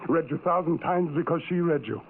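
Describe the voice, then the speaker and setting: A man's voice: on the high side, fast, natural voice. An actor playing a lovelorn, despairing ugly man who loathes himself, speaking in theatrical, poetic broken phrases in a vintage radio drama. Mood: bitter despair. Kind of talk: old-time radio melodrama acting. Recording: muffled, noisy vintage radio recording.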